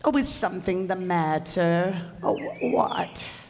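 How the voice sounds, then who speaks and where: An old widow of seventy-five , played by a woman, in a radio play. She speaks slowly and formally, stammering when flustered, proud and easily wounded. The recording carries a hall's long echo and some noise. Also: lowish voice, animated, darkish tone. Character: elderly widow, 75, indignant, wistful, proud of her girlhood. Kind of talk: stage radio-play acting. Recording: echoey hall, noisy live stage recording.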